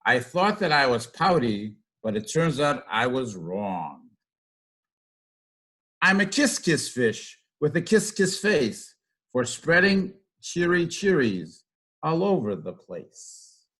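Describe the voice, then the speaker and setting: A man's voice: medium pitch, big pitch swings, slow, a bit bright. A man voicing a gloomy, pouting fish from a picture book, in a mournful, resigned sing-song. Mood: cheered up. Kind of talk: read-aloud picture book.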